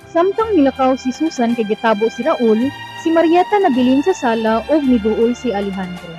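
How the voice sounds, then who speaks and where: A woman's voice: on the high side, sing-song, normal speed. A woman with a Filipino accent reading scripted narration for a radio drama. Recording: muffled lo-fi recording. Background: music.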